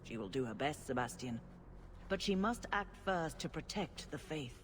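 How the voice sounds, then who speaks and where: A woman playing a solemn, cautious senior churchwoman in a fantasy video game, with formal, measured delivery. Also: sits low, expressive, a little hiss or hum, rough recording.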